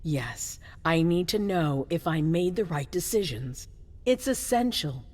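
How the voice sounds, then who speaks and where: An actress playing a commanding but anxious female leader in a video game cutscene, insistent and measured. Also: fairly low pitch, expressive, darkish tone. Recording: long reverberant hall echo.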